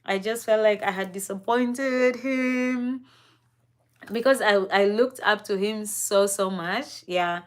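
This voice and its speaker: A young woman from East Africa speaks at a very slow, deliberate pace. Her middle-pitched voice drifts through a personal story, pausing on fillers and breaking into soft laughs. She sounds casual and thoughtful, like a live stream host talking straight to her viewers. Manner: reflective, unhurried, with frequent fillers and soft laughs.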